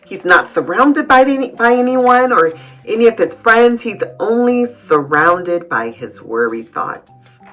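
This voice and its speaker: A woman reading a picture book aloud to young children, adding gentle, encouraging comments and addressing them as friends. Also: fairly high pitch, very animated, measured pace, soft background music, rough recording. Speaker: children's read-aloud host.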